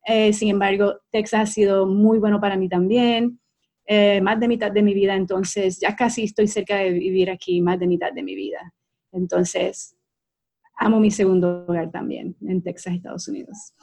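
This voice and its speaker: A woman in her forties with a Puerto Rican accent, a guest on an interview, telling her life story at an unhurried pace and stretching words for emphasis. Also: medium pitch, flat delivery, mellow. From Puerto Rico. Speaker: guest, life coach and clinical hypnotist.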